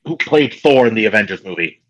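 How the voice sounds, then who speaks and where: Animated man with eager, slangy chatter. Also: on the low side, fairly quick, lo-fi sound, noisy background. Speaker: podcast host. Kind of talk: casual chat.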